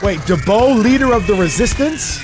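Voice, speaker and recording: High-pitched, excitable radio DJ played by a man. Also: normal speed, over music. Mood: flustered. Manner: flustered, excitable. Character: excitable male radio DJ, alarmed and earnest. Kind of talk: audio drama acting as radio broadcast.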